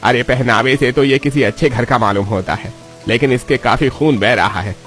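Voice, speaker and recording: A man playing a kindly elderly village priest in a dramatized moral story, speaking in a fatherly, grave and expressive way. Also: medium pitch, normal speed, soft background music, close to an everyday voice. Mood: grave concern.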